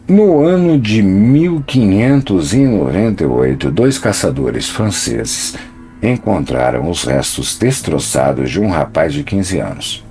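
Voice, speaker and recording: Deep-voiced dramatic male narrator. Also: lively intonation, dark tone, soft background music. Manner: dramatic, measured storytelling. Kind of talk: scripted documentary narration.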